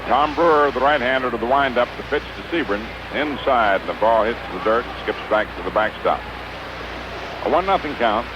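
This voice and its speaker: An American man calling baseball play-by-play for 1950s radio, in measured, clipped phrases with pauses between them. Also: medium pitch. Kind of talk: 1950s radio sports commentary. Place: ballpark broadcast booth. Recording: noisy, dull vintage 1950s radio broadcast.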